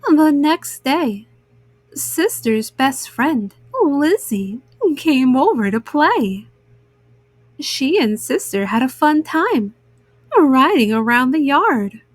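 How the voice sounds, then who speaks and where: A woman reading a children's story aloud in an expressive, measured narration for young listeners. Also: sits high, no room sound.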